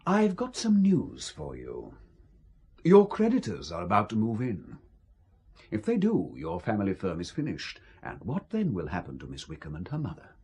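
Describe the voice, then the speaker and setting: A man's voice: on the low side, huge pitch swings, normal speed, a little mellow, breathy edge, full character voice. A man with a British accent playing a suave, coercive intelligence officer, condescending and coolly menacing, in an audio drama. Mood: smoothly menacing. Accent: British English.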